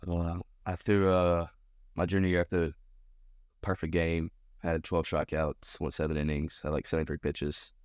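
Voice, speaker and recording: A man's voice: low voice, little pitch movement, moderate pace, darkish tone, clean tone, no echo, studio quality. An American man of about twenty giving casual, slangy interview answers as a college baseball player. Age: around 20. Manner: informal, slangy.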